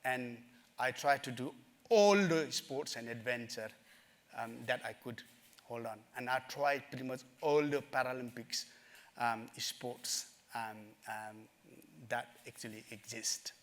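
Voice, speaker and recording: A middle-aged man from Nepal, telling his life story in a summit talk, earnest and halting, with many fillers. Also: mid-range voice, a bit bright. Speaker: speaker at a summit. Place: conference stage.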